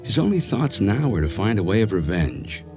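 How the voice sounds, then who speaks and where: A grave doctor narrates a radio play clinically. His voice is very deep with lively inflection. Music runs clearly behind him. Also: moderate pace. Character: grave male doctor narrating a patient's mental decline. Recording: dull muffled audio with music.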